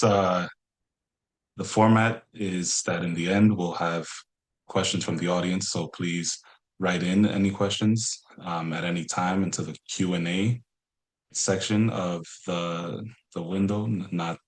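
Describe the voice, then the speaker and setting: A man speaking as the host of an online webinar, giving introductions and practical notes to the audience. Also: deep voice. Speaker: webinar host, program director. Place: remote webinar from New York.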